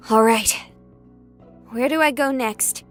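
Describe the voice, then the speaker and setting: A woman playing a plucky, stubborn young streamer in a visual novel, sarcastic and excitable in her delivery. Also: a little high, takes it slowly. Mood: exasperated. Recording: music behind the voice.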